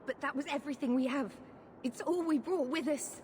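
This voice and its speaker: A woman's voice: sits high, a little fast, darkish tone, slight background noise, natural voice. An actress playing a desperate, pleading refugee woman in a video game. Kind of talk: video game cutscene acting.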